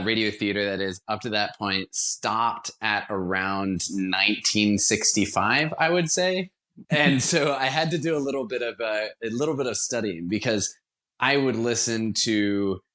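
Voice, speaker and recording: A man's voice: fairly low pitch, fairly bright tone. A man speaking as a guest in a casual podcast interview, with an enthusiastic, conversational manner. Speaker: interview guest, radio theater producer.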